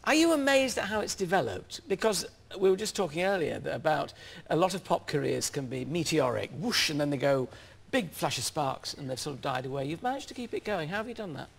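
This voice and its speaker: A male chat show host interviewing a guest in a TV studio, chatty and expressive, with theatrical introductions. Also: on the high side, fairly quick, vocal fry, hardly any pauses.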